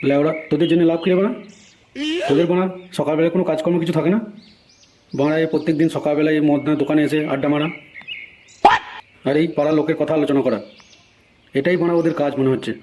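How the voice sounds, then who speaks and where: A man acts out an irritable, threatening married man from a comedy cartoon. He scolds in a very fast stream, with a slightly high but soft-edged, mellow tone. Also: steady tone, a little hiss or hum, lightly acted. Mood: angry, scolding. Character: hot-tempered married neighbourhood man, foul-mouthed and threatening. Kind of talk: amateur comedy cartoon dialogue.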